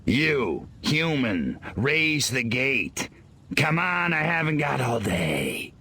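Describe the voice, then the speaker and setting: An actor voicing a cocky, sarcastic little alien invader in a video game, raspy, sneering and impatient. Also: male voice, mid-range voice, big pitch swings, takes it slowly, bright, forceful tone, heavily acted. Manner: wisecracking, sneering. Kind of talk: video game cutscene acting.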